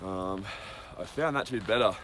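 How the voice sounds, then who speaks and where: A man's voice: on the low side, normal speed, fairly bright tone, a little hiss or hum. A man giving a casual, rambling daily update on a hiking trail, with many ums and side tangents. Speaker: long-distance hiker. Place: outdoors on a cold trail.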